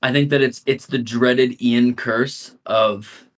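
A man's voice: medium pitch, fairly even intonation, slow. A man co-hosting a casual music podcast, talking in a rambling, hesitant way with many restarts. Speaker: podcast co-host. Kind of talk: casual chat.

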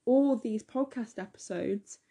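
A teenage girl with a slightly hoarse, husky, gravelly voice. She chats eagerly and loosely on a podcast, full of "like" and "um.". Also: a little high, measured pace, mellow. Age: teens. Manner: chatty, enthusiastic, lots of fillers. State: a bit hoarse. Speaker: podcast co-host. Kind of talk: casual podcast chat.

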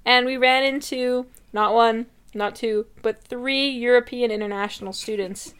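A woman's voice: a little high, steady tone, a little slow, fairly bright tone, clear, resonant tone. Young woman from Canada, podcast co-host, dry teasing banter, casual. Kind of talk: casual chat.

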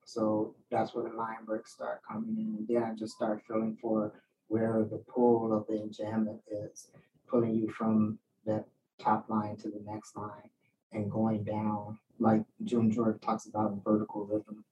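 A man's voice: on the low side, measured pace, highs cut off. Man reflecting aloud, recorded from a distance. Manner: animated storyteller, acts out quoted remarks. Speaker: poet and writing teacher giving a talk. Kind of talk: casual reflective question-and-answer talk. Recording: distant mic with faint background noise.

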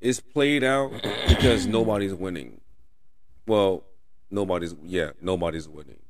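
A man's voice: low voice, lively intonation, unhurried, no echo. A man hosting a casual podcast, teasing his guest and swearing freely. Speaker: podcast host.

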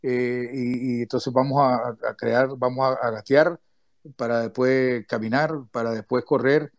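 A man giving closing remarks on a remote audio call, speaking in a halting way with many restarts and hesitations. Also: medium pitch, steady tone, no room sound, studio quality. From Nicaragua. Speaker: activist speaker, former political prisoner. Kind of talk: closing remarks in an online audio chat room.

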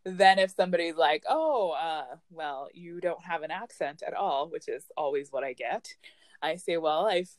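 A young woman from Ghana hosting a casual podcast discussion in a relaxed, chatty way. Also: low voice, normal speed, no echo. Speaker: podcast host.

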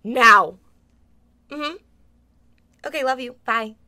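A woman's voice: high voice, slow pace, sharp tone, slight background noise, heavily acted. A woman playing a fussing, anxious middle-aged mother in a comedic audio drama, coaxing and tightly controlled. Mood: urgent, clipped.

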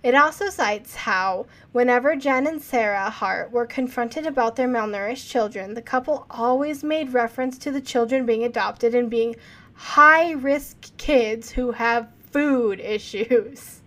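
Young woman podcast host, kinda high voice, earnest, reading report quotes then chatting. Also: measured pace, fairly bright tone. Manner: earnest, questioning, reads quotes aloud. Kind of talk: casual true-crime podcast chat, reading from reports.

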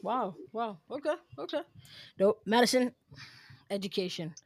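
Young podcast host, chatty and teasing, dry close sound, middle pitch. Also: expressive, dry recording. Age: young adult. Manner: upbeat, teasing, quick asides. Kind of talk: casual podcast chat.

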